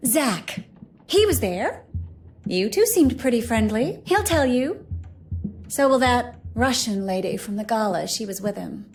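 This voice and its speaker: A woman playing a proud, overdramatic stage actress with a sharp tongue in a mystery game. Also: a little high, expressive, measured pace, a little hiss or hum. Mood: curt, peeved. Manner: theatrical, overdramatic. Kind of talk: scripted game dialogue.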